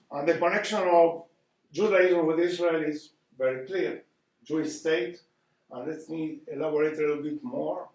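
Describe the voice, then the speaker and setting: A man's voice: fairly high pitch, a little slow, darkish tone. An older man from Argentina with an Argentine Spanish accent gives an earnest public lecture, often hesitating and repeating words as he searches for them. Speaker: guest speaker. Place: community hall. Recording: echoey hall, distant mic.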